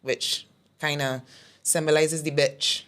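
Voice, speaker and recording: A woman with a Barbadian accent and a deep, raspy voice, talking bluntly as a comedian guest in a casual interview. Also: flat delivery, slow, fairly bright tone. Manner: blunt, aggressive tone.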